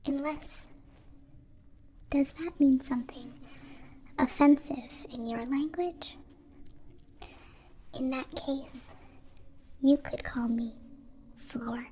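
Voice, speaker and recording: A woman voicing a gentle, polite alien in an ASMR roleplay, half-whispering in halting, formal phrases. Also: high-pitched, slight background noise, voice pushed up. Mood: grateful, polite. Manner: halting, formal, stilted phrasing without contractions. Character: gentle, polite young alien, grateful new friend.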